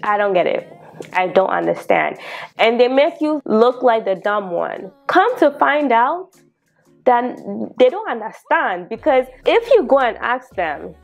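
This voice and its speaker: A young woman in her twenties with a Ghanaian accent, telling a story to camera in a casual vlog, animated and quick to laugh. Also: highs cut off, faint music behind. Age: 20s. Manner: animated and expressive, laughing often, exclaiming in sudden high bursts. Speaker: vlogger. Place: small bedroom studio indoors. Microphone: lapel mic.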